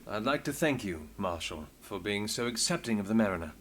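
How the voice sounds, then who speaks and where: Low-voiced courteous male investigator played by an actor. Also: expressive, a little fast, noisy background, close to an everyday voice. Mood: composed. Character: composed male investigator, former street thief, wry and courteous. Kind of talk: scripted video game voice acting.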